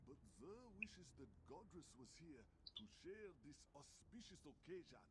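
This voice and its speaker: An actor playing a courteous, earnest beast-folk adventurer in a fantasy game, speaking formally. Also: male voice, fairly high pitch, sing-song, unhurried, a bit bright, dry recording, heavily acted. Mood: eager, wistful. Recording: clear ambient game noise behind.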